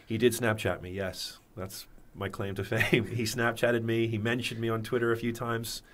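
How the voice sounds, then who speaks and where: A man, a TV presenter, chatting as a guest in a radio studio, animated and self-mocking. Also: fairly low pitch, moderate pace. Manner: animated, jokey, self-deprecating. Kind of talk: excited studio chat.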